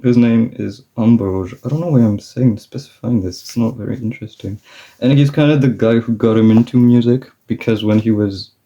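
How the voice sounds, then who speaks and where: A man's voice: medium pitch, a little fast, mellow, muffled recording. A young man chatting in a rambling way with fillers and laughs while he draws for his vlog. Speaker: vlogger. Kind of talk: casual chat while drawing.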